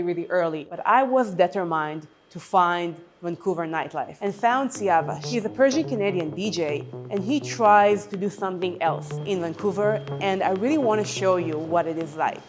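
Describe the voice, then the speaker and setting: Young woman from Israel narrating over music. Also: medium pitch. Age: young adult. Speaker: documentary narrator. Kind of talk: scripted narration.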